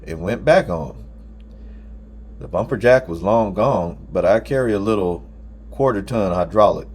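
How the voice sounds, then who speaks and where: A man's voice: on the low side, animated, unhurried, darkish tone. A man reading a first-person story aloud as an audiobook narrator.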